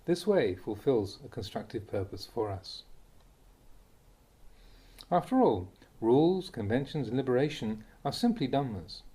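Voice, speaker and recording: A man reading a Buddhist teaching aloud in a measured, explanatory manner, like an audiobook of a spiritual talk. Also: medium pitch, very animated, dark tone. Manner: measured, explanatory, acts out short quoted lines. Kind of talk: read-aloud Dhamma talk, audiobook narration.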